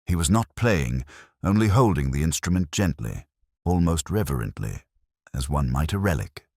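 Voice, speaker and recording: A very low-voiced man narrates a detective tale. His delivery is measured and solemn, yet the pitch moves expressively through each phrase. The recording is close and dry. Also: very animated, darkish tone, husky, no echo. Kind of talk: scripted audiobook narration.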